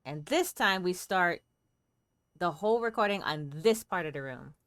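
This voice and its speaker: A woman hosts a casual game stream in a smooth, lowish voice. She chats playfully and thinks aloud as she plays. The sound is close and dry. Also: animated, moderate pace, fairly bright tone, no echo. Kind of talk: casual livestream game commentary.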